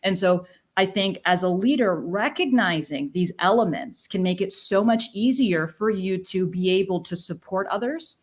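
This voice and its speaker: A woman, a leadership coach speaking as a guest on an interview podcast, talking quickly with flat emphasis and often tagging her sentences with "right?". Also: mid-range voice.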